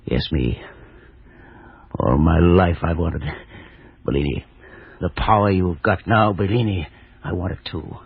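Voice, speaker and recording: An actor playing an intense, greedy accomplice in old-time radio horror, with halting, repetitive delivery. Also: male voice, sits low, vocal fry, breathy edge, no echo, exaggerated voice. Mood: accusing, covetous. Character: greedy, power-hungry male accomplice, agitated and pleading. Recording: dull vintage radio broadcast.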